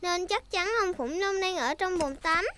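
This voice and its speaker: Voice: very high-pitched, measured pace, bright, forceful tone, full character voice. A performer voicing a cheerful, bossy little girl piglet in a dubbed preschool cartoon, chirpy, eager and confident. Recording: music behind the voice.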